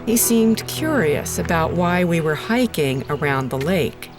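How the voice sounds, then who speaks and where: Uneasy female radio storyteller, lowish voice, measured scripted narration over clear music. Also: expressive. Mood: foreboding, measured. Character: uneasy female radio presenter recounting an eerie investigation. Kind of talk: scripted first-person narration.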